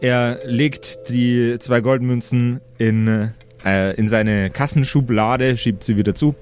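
Man narrating haltingly over soft music. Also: medium pitch, fairly even intonation. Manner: halting, emphatic repetition of words. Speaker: game master. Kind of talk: improvised fantasy narration. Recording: music under the voice.

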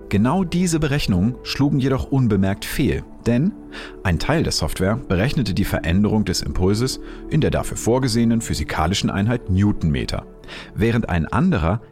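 A man narrates scripted science material in a clear, explanatory way. His voice is very low. Faint music plays under him. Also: darkish tone.

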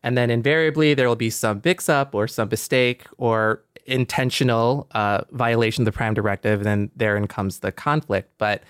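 A man's voice: medium pitch, normal speed, few pauses, smooth, no echo, clean studio sound. A man talking casually as a podcast panelist, earnest and explanatory.

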